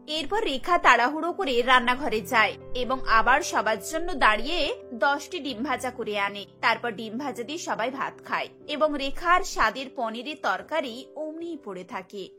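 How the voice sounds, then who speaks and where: A woman narrates a cartoon story from a script. Her voice is high and bright, laid over light background music. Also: animated, normal speed.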